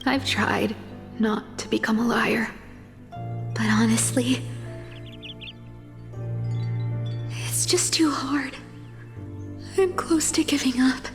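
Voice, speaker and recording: A woman voicing a guarded young female thief and habitual liar in a video game, with a slow, teasing, self-deprecating way of talking. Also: high voice, steady tone. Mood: wistful, doubting. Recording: long hall reverb over music.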